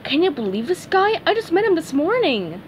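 Woman as high, brash female blue fish. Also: very animated, moderate pace, slight background noise. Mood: breezy, chatty. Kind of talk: cartoon storybook character voice.

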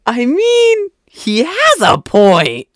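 A woman's voice: sits high, huge pitch swings, drawn-out words, no room sound, studio quality, exaggerated voice. A woman playing a laid-back hero ranger in an audio drama. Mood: matter-of-fact. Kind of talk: audio drama character acting.

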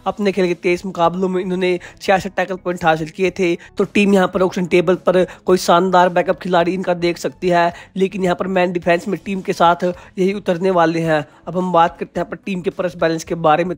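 A man's voice: high voice, dry recording. A man gives a scripted voice-over about a sports auction, steadily explaining and addressing viewers as friends. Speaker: kabaddi video presenter.

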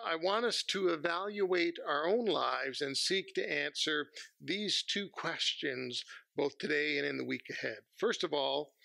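A man, preaching a measured, earnest sermon from a church pulpit on a headset mic. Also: on the high side, vocal fry, pushed and bright, dry recording. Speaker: pastor.

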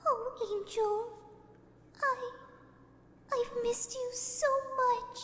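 Timid young female pony, very high, slow and pleading, for a dark audio story. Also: steady tone, heavily acted. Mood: hopeful longing. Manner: tender, pleading, halting. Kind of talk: dramatic audio story acting. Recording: long echoing reverb, music behind.

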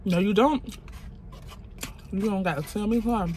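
A woman's voice: medium pitch, measured pace, a little mellow, slight background noise. A woman, talking casually to camera from a parked car, blunt and outspoken. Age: 20s. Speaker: vlogger. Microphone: phone camera on dashboard.

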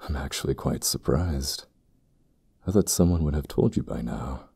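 An actor playing a stern, ambitious temple priest in a fantasy audio drama, speaking formally and gravely with a husky, gravelly voice. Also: male voice, extremely low pitch, a little mellow, no echo, clean studio sound, exaggerated voice. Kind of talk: fantasy audio roleplay acting.

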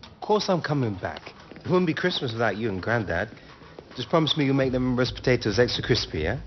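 A man's voice: medium pitch, big pitch swings, a little fast, lo-fi sound, background noise, natural voice. Easygoing young English footballer, played by a man. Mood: affectionate. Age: young adult. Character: easygoing young male English footballer, warm toward family. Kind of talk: naturalistic cinematic game acting.

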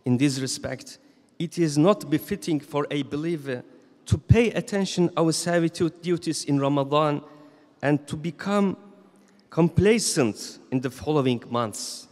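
A man in his thirties giving a Friday sermon as imam, reading from notes in a measured, solemn way at a stand microphone in a large mosque hall. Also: on the high side, darkish tone. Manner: measured, solemn reading with slow emphatic phrases. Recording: long reverb of a mosque hall.

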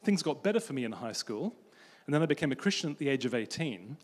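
A man from Australia giving a conference talk about faith and friendship, telling stories in an animated way as he moves around a large stage with a handheld mic. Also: medium pitch, quick pace, husky. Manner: animated storytelling, pacing the stage. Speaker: conference speaker. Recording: long hall reverb.

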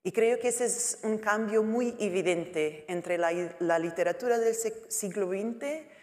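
A woman speaking in her second language with a Portuguese accent, a writer on a panel, halting and searching for words. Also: mid-range voice, a little mellow. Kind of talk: panel talk. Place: lecture hall. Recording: long hall reverb.

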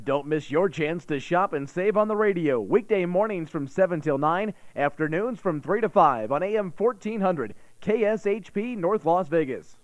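A man's voice: sits high, animated, normal speed, hardly any pauses, background noise. A man reading promotional copy as a radio announcer. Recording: dull radio spot over music.

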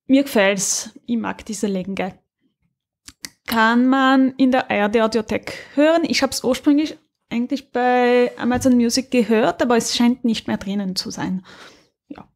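A woman's voice: a little high, a little slow. A woman co-hosting a podcast, talking casually about a radio drama with many fillers, restarts and laughs. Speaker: podcast co-host.

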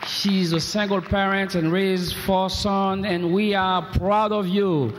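A man's voice: high voice, steady tone, slow pace, crowd noise, noisy background. A man presenting awards at a gala, reading citations formally with joking asides, and saying he is losing his voice. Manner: reads citations formally, with asides and self-deprecating jokes. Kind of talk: ceremony announcing and reading citations. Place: large convention hall. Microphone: podium microphone. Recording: echoey convention hall, 1990s video.

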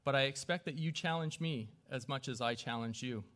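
A man speaking as mayor at a council meeting, running through formal procedure in a halting, self-deprecating way with informal asides. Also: mid-range voice, a bit bright. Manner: halting, self-deprecating, stumbling over names. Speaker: newly elected mayor chairing a council meeting. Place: council chamber with a small audience.

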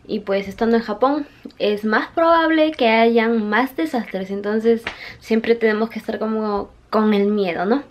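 Young female vlogger, higher-pitched voice, chatty and confiding, handheld camera with noticeable background noise. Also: unhurried, highs cut off. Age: young adult. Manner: chatty, often addressing viewers directly. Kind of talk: casual confiding vlog chat. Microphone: camera at arm's length.

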